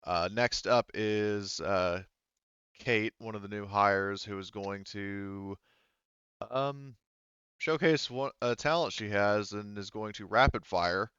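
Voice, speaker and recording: A man's voice: lowish voice, slow pace, a bit bright, smooth, no room sound, lo-fi sound. A man acting as game master in a tabletop roleplaying game, talking through rulings casually.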